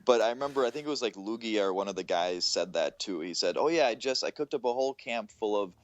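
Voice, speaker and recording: A man with a low voice co-hosts a casual gaming podcast. He speaks informally and gives his opinions freely. The recording is dry and close, with very little room sound. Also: animated, quick pace, no room sound, muffled recording. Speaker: podcast co-host.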